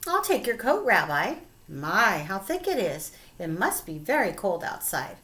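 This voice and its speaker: A woman voicing a kindly, fussing elderly woman with a bright, chirpy manner. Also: mid-range voice, wildly swooping pitch, unhurried. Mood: cheerful.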